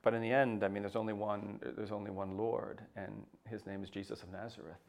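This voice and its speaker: A middle-aged man speaks in a lowish voice. He answers an interviewer slowly and reflectively, stumbling into frequent restarts and fillers. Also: level tone, gravelly, a little mellow. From United States. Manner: hesitant, many restarts and fillers. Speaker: papal nuncio, interview guest.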